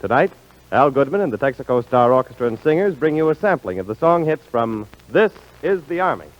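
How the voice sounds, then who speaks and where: A man's voice: mid-range voice, very animated, brisk. A man working as a radio announcer in a polished, showmanlike 1940s style, reading scripted ads and introductions. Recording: dull, lo-fi 1940s radio broadcast.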